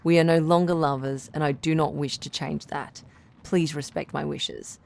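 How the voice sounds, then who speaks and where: A woman playing a caring, composed radio relationship doctor who gives advice in a measured, articulate, reassuring way. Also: deep voice, fairly even intonation, vocal fry, a little mellow. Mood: calm, advising. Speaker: radio advice show host. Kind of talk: radio drama advice-show acting.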